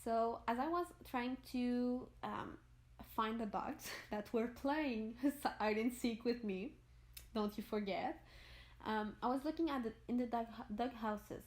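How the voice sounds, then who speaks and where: A young woman with a French Canadian accent talks over her game footage in a loose, rambling way. Her voice sits a little high. She stops now and then to hunt for an English word, since French is her first language. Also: unhurried. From Montreal, Quebec. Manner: rambling, searches for words, laughs often. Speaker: gaming YouTuber. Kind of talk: voice-over for a game build video.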